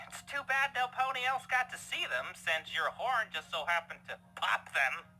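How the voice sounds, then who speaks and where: A woman's voice: fairly low pitch, big pitch swings, normal speed, bright, forceful tone, exaggerated voice, rough recording. A woman voicing a playful male party pony turned killer in an audio drama, with a taunting, mock-friendly, sinister manner. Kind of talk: audio drama fan fiction reading. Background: music.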